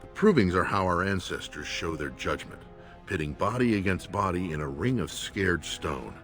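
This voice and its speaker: Dwarf lord's aide in a game, low voice, lively delivery, formal n earnest, faint music. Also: male voice, normal speed. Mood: earnest, businesslike. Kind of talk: video game character acting.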